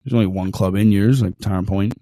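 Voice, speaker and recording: Man w thick accent, chatty podcast cohost, teases a lot, laughs, talks quick. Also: lowish voice, steady tone, dark tone, no room sound. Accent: thick non-Australian accent. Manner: chatty, teasing, laughs often. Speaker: podcast co-host. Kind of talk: casual podcast chat.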